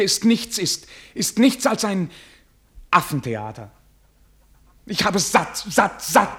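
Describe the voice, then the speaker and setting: An actor in a German radio play as a weary, self-doubting prince who speaks formally, with theatrical irony. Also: male voice, sits high, fairly bright tone, exaggerated voice. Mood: bitter, fed up. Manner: formal, theatrical, brooding, ironic.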